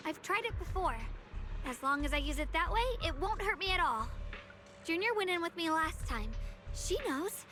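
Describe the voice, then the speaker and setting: A woman voicing a brave young swordswoman in a game, speaking in a gentle, reassuring way. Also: very high voice, lively intonation, normal speed, exaggerated voice. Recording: game audio under loud music.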